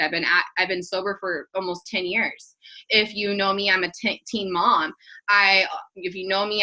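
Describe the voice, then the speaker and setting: A woman's voice: mid-range voice, sharp tone, rough recording. A woman in her thirties giving a casual team training talk, chatty and earnest, full of "like" and restarts, encouraging her listeners. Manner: chatty, filler-heavy, rambling.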